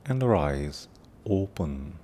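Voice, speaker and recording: Very slow, deep-voiced man guiding meditation. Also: very animated, mellow, slight background noise. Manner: solemn, deliberate, with long pauses between short phrases. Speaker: meditation teacher and podcast host. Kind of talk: guided meditation with prayerful invocation.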